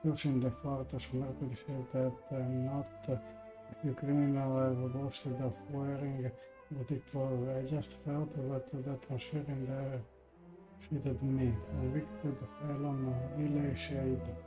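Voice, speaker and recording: Male streamer, flat and slow halting read of game text, faint music behind. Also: mid-range voice, low-quality recording. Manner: halting, stumbling reading. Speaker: live streamer. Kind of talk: reading game text aloud.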